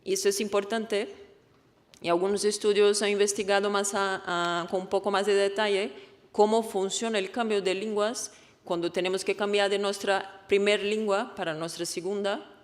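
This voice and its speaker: A woman, a native Portuguese speaker with a Portuguese accent, gives a research presentation in an explanatory, halting way, often correcting herself. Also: medium pitch, little pitch movement. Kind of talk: conference presentation. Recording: echoey hall with long reverb.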